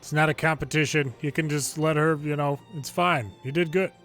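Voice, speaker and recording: Higher-pitched man reading game dialogue aloud. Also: fairly even intonation, brisk, a bit bright, faint music behind. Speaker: gameplay video host reading subtitles aloud. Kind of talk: English read-aloud of game dialogue with casual asides.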